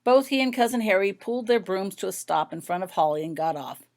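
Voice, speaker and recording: A woman's voice: medium pitch, expressive, fairly quick, darkish tone. A woman reading her own story aloud as scripted audiobook narration.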